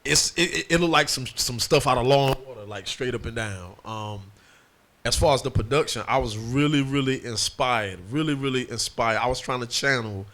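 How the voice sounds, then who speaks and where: A man's voice: mid-range voice, moderate pace, fairly bright tone. A man, a music producer, talking in a laid-back casual interview and often tagging "and whatnot".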